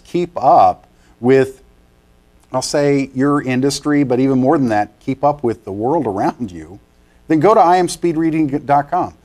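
A man's voice: mid-range voice, a little mellow. A middle-aged man hosting a business interview show in a TV studio, chatty and enthusiastic. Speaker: TV show host. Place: TV studio set.

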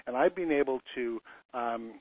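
A man's voice: mid-range voice, moderate pace, dry recording. A man in his fifties giving an earnest, conversational webinar talk as a lease consultant, often restarting his sentences. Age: 50s. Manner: earnest, storytelling, frequent restarts and repeated words. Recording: tinny phone-line audio.

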